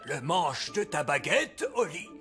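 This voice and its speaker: A wise old elf explains things with theatrical authority. The cartoon voice rises and falls widely, deliberate in delivery, over clear background music. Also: male voice, fairly high pitch, very animated, sharp tone, heavily acted. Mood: explaining. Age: older. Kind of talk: French cartoon dubbing.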